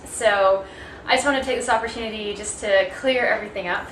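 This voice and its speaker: A woman's voice: medium pitch, a little fast, fairly bright tone. Young canadian woman vlogging, chatty w lots of ums, roomy echo, faint hiss. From Toronto, Canada. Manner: chatty, explains with many fillers. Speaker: athlete video blogger. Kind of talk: casual video blog talk.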